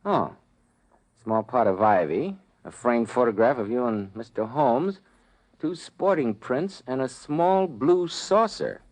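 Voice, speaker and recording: A man's voice: medium pitch, animated, slow pace, a little mellow. A man announcing in polished, chummy 1940s radio salesman style. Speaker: announcer and sponsor pitchman. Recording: vintage 1940s radio recording.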